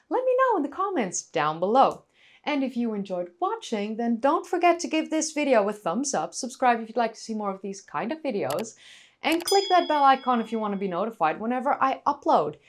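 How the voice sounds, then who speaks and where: Dutch-accented woman with very lively intonation. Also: medium pitch. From North Holland, Netherlands. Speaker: history video presenter. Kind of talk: scripted educational narration with casual asides to viewers.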